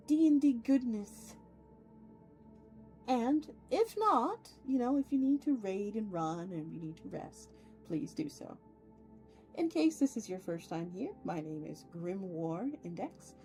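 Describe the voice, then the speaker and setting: A woman livestream host speaks in a fairly high, slightly theatrical voice at a slow pace. She is playful and effusive as she chats casually with her viewers. Faint music plays behind her. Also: expressive, darkish tone.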